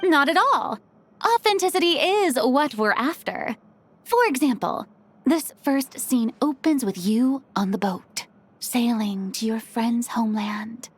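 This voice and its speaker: A woman's voice: on the high side, animated, measured pace, husky. A woman playing a poised, friendly but guarded young lady of the film world in a video game. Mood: reassuring.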